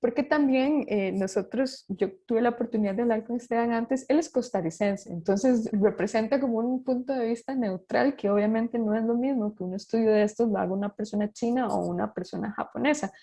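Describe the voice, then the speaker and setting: Rambling woman moderator, mellow tone. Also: fairly high pitch, a little fast, few pauses. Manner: rambling, searching for words. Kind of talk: moderating a webinar Q&A.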